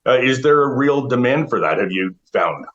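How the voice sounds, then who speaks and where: A man interviewing student volunteers, asking measured, friendly questions. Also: mid-range voice, lively intonation, fairly bright tone, muffled recording.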